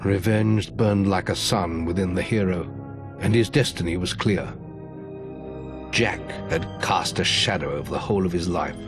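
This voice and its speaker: An actor playing a grave fantasy storyteller, giving portentous scripted narration for a video game. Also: male voice, low voice. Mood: foreboding. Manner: solemn, portentous.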